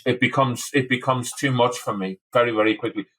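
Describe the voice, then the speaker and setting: A man speaks as a podcast guest at a middling pitch. He talks haltingly, stopping and repeating words as he gathers his thoughts. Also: bright, forceful tone. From North Wales. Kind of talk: casual conversation.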